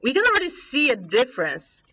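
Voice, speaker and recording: Higher-pitched woman with mild Polish accent. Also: huge pitch swings, a little fast, bright, forceful tone. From Poland. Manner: chatty, self-correcting, thinking aloud. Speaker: workshop presenter. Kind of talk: casual teaching while demonstrating software. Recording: distant, dull room recording.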